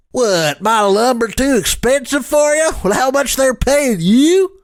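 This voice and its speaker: A man voicing a jaunty, greedy, threatening lumberjack beaver for a video game, with a creaky voice. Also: very high voice, animated, slow pace, heavily acted. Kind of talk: video game character voice lines.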